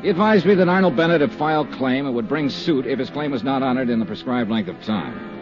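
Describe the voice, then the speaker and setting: An actor playing a wry radio private eye. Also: male voice, mid-range voice, expressive, fast talker, over music, natural voice. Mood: narrating. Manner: clipped, dry first-person narration. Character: hard-boiled male insurance investigator, wry. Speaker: insurance investigator and narrator. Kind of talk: 1950s radio drama acting. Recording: dull lo-fi old radio broadcast.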